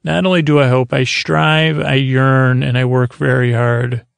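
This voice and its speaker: A male podcast host speaks in a creaky, gravel-edged voice at a middling pitch. He rambles soothingly, as if easing listeners toward sleep, circling back and leaving thoughts half finished. The sound is very dry, with no room around it. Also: little pitch movement, no echo. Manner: meandering, halting, repeats and trails off mid-thought.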